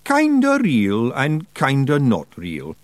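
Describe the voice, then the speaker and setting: A man's voice: mid-range voice, wildly swooping pitch, takes it slowly, dry recording, voice pushed up. A man voicing a small, cheeky male pixie who answers nervously and evasively. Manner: shifty, evasive.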